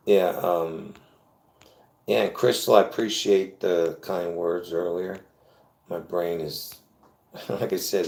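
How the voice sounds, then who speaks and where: Rambling low-voiced man in an echoey room. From born in Lebanon. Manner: rambling, chatty, laughs often, restarts. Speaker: livestream host. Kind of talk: casual solo livestream monologue. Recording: roomy echo.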